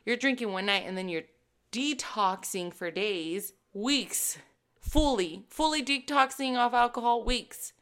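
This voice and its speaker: A woman in her thirties, a fitness coach hosting her own podcast, talking to listeners in a casual, emphatic and animated way. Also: on the high side, a little slow. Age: 30s. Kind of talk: casual solo podcast talk.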